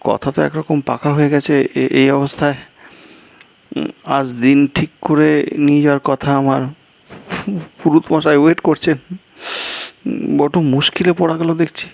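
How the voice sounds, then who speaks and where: Man putting on anxious, high-pitched flustered fellow. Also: little pitch movement, normal speed, vocal fry, long pauses, a little mellow, dry recording. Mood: flustered, worried. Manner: flustered, stammering, exclaiming. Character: anxious, gullible man arranging his niece's wedding, easily flustered. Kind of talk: Bengali audio story character dialogue.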